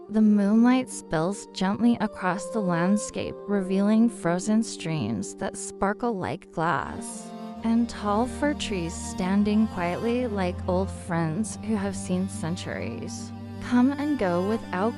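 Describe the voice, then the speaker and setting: A woman narrating a guided sleep story in a calm, soothing, unhurried manner. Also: mid-range voice. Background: background music.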